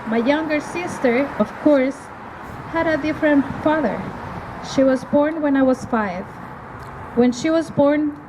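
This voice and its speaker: A middle-aged woman from El Salvador giving an earnest, measured testimony at an outdoor evening rally, pausing between phrases. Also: high-pitched. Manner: earnest, measured delivery with pauses. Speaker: rally speaker. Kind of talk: prepared testimony speech. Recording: outdoor recording with clear ambient noise.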